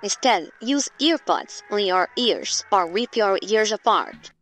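A woman's voice: medium pitch, lively intonation, slow, fairly bright tone. A woman playing a facility narrator who reads creature case files as formal, instructional scripted narration, on noisy amateur audio.

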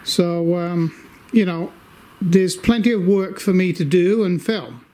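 An older man giving a casual update from his home workshop, talking slowly with lots of ums and restarts while holding the camera. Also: high-pitched, dark tone. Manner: slow, rambling, many fillers. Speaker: workshop video maker.

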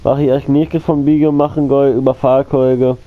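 A man's voice: mid-range voice, little pitch movement, normal speed, narrow, tinny audio. A man with a cold, coughing now and then, giving rambling casual commentary on his gameplay and talking straight to his viewers. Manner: rambling, repeats himself, addresses viewers as friends. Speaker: gaming channel host.

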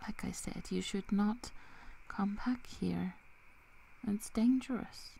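A young werewolf girl acted by a woman, loyal and protective, in an ASMR roleplay. She speaks softly and slowly, slipping between whispers and heavy vocal fry, in a dark, mellow tone. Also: mid-range voice, a little hiss or hum, poor audio. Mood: reluctant, resigned. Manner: soft, halting, breaking into whispers.

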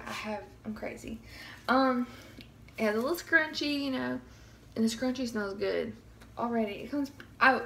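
A young woman, chatting nervously in a casual review vlog, her voice slightly scratchy from bronchitis, recorded close on a phone camera in a small bedroom. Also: fairly high pitch. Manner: chatty, nervous, keeps saying y'all. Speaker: vlogger.

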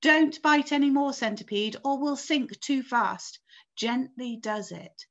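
Woman voicing an urgent young boy, high and exclamatory. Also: a little slow, a bit bright, dull audio. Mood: commanding. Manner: exclamatory, short urgent orders. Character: young boy leader of insect companions, urgent and commanding. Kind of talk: children's storybook read aloud.

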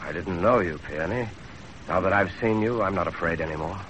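An actor playing a proud, plain-spoken young revolutionary leader of peasant birth, in 1930s radio drama style. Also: male voice, sits low, a little fast, fairly bright tone. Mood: thoughtful. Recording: muffled, faintly noisy 1930s radio broadcast.

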